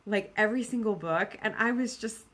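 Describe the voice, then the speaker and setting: A woman vlogger with an average-pitched voice talks in a loose, conversational way. She circles back and repeats phrases to stress them. She quotes her own thoughts with some animation and is self-deprecating about her habits. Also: brisk, fairly bright tone. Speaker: book vlogger. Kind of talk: casual, rambling video chat about personal plans.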